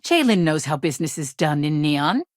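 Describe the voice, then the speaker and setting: A woman playing a brisk, guarded, impatient corporate lawyer in a video game, speaking in a crisp, formal, curt way. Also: low-pitched, very animated, no echo, studio quality. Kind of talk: scripted game dialogue.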